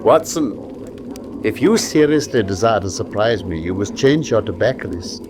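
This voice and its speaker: Brisk analytical detective, English accent, assured and commanding, swooping intonation, radio play, clear ambient noise with birdsong. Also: male voice, fairly low pitch, animated. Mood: amused, assured. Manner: assured, precise, theatrical. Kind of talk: British radio drama acting.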